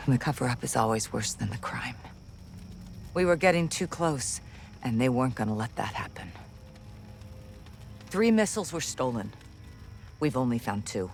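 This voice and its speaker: An actor playing a grave military officer in a game, giving a measured, matter-of-fact account. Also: male voice, medium pitch, slight background noise. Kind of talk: cinematic military game monologue.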